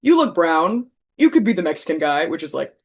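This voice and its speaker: A panelist in a casual group conversation with a gravelly, fry-laden voice at a middle pitch. The delivery is wry and self-deprecating, punctuated by laughs. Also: sing-song, a little fast.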